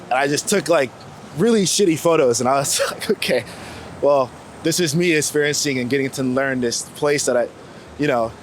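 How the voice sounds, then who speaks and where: A man's voice: mid-range voice, normal speed. A young American man from Florida talking casually and candidly in an interview, with informal, slangy speech. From United States, Florida. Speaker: street photographer being interviewed. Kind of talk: casual reflective chat. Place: outdoors on New York city streets. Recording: outdoor street noise with passing vehicles. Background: city traffic ambience.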